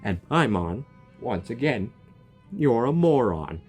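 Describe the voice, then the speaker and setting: A man's voice: medium pitch, very animated, slow pace, a little mellow, husky. A man doing casual, blunt and joking reaction commentary as he plays a video game. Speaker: gaming video creator. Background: faint game music.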